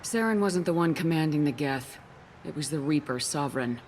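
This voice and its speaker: A steadfast military commander from a science fiction game. Her voice sits low and she talks firmly and directly, with faint noise behind her. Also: expressive, fairly quick, darkish tone. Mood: insistent. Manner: firm, direct. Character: steadfast female human military commander, composed and assertive. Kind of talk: video game voice acting.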